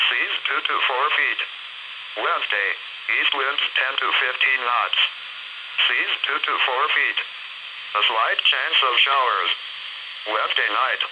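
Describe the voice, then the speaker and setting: A synthetic male voice reading a scripted automated weather bulletin as a radio announcer, in a flat, segmented delivery. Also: mid-range voice. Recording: very noisy weather radio broadcast.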